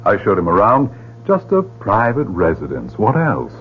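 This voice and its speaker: A man's voice: fairly low pitch, wildly swooping pitch, moderate pace. A curt henchman. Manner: curt, clipped. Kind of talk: 1970s radio drama acting. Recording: tinny old radio broadcast with hum.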